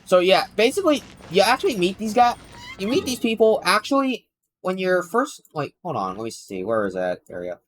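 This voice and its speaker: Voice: medium pitch, big pitch swings, brisk, a little hiss or hum, poor audio. A game streamer giving casual, rambling commentary while playing, often exclaiming in mild bewilderment. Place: indoors, live stream with game music behind the voice.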